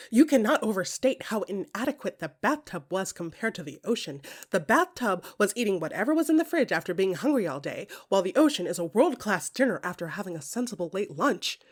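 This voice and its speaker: A woman performing intimate second-person audio fiction, expressive and often hushed, with deliberate pauses between short phrases. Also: lowish voice, husky. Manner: expressive, swinging from soft musing to emphatic bursts.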